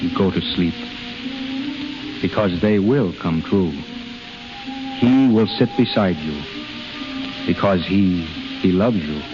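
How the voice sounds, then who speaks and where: Intense healer, tender but commanding, halting delivery. Vintage radio drama, lowish voice, music underneath. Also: male voice. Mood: hushed, soothing. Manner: halting, emphatic, repeats phrases. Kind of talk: 1930s radio drama acting. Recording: muffled 1930s radio transcription, music behind.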